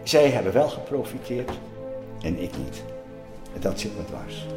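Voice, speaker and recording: A man playing a bitter, resentful figure speaking gravely and haltingly in a documentary-style radio drama. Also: fairly low pitch, big pitch swings. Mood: bitter, resolute. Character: bitter, resentful man revealing a long-kept secret list. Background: loud music.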